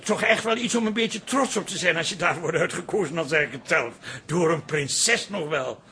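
A man's voice: fairly high pitch, lively intonation, moderate pace, bright, forceful tone, a little hiss or hum. A man playing a flustered, self-important café owner in a comic radio drama, stammering and hesitant. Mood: proud.

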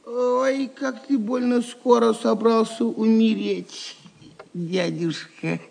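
Casting: loyal fool posing as a monk, slow and very high-pitched, playful mock solemnity, audio drama. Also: male voice, steady tone, vocal fry, a little mellow, exaggerated voice. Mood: wry. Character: wry, loyal male jester disguised as a poor monk.

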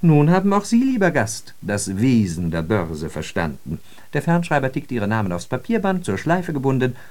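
An ironic, animated worldly raconteur. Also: male voice, mid-range voice, normal speed. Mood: mock-cheerful showmanship. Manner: theatrical, ironic, emphatic delivery. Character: worldly man telling ironic travel parables of New York. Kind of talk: scripted German radio drama monologue.

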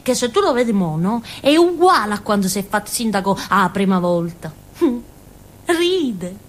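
An actress playing a naive, simple-minded village woman in a theatre monologue, with a southern Italian accent and a childlike, halting, colloquial way of telling things. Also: mid-range voice, big pitch swings, slight background noise. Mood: chatty, simple. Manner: childlike, halting, giggly, muttering little asides. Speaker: stage actress.

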